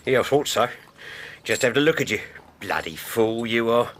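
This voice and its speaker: An actor playing a gruff but friendly working-class coachman in a radio drama, with music behind him. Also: mid-range voice, lively intonation, moderate pace, fairly bright tone. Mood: gruffly ribbing.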